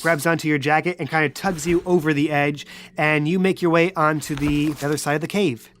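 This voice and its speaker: A woman's voice: deep voice, steady tone, brisk, slight background noise. A woman narrating a tabletop roleplay podcast as game master.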